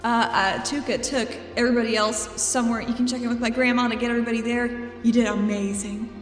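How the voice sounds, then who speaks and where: A woman's voice: a little high, steady tone, quick pace, faint music behind. A woman playing a tabletop role-playing game, chatting and narrating casually.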